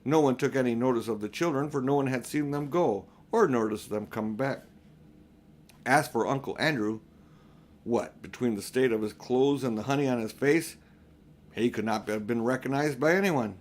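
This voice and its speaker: An older man narrating a children's audiobook in a deliberate, phrase-by-phrase manner. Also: mid-range voice. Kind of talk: scripted storybook narration.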